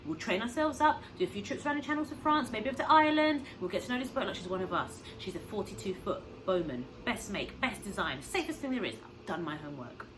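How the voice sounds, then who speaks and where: Woman putting on an excitable, eager storybook dad. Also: mid-range voice, fast, few pauses, background noise, close to an everyday voice. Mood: persuasive, excited. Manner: breathless, eager, emphatic. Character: excitable, persuasive father full of big plans.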